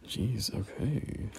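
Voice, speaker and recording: A young man playing a teasing, bashful male friend in an intimate, casual romance audio roleplay, soft-spoken and halting. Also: medium pitch, gravelly, husky, heavily acted. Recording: noticeable background noise.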